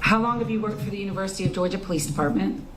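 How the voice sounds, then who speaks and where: A woman prosecutor questioning a witness in court, polite, formal and measured. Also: mid-range voice. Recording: echoey courtroom, distant mic, noisy.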